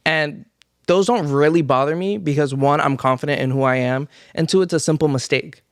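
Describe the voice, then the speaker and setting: A man's voice: on the high side, brisk, clear, resonant tone, no echo, studio quality. A man who is a guest on a podcast, speaking in a confident, matter-of-fact way. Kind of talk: casual chat.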